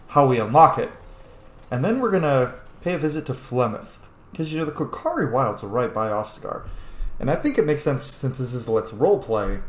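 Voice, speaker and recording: Casual sweary man in an echoey room. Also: mid-range voice. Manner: sweary, informal. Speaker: gameplay host. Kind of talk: casual role-play gaming commentary. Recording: roomy echo, faint background noise.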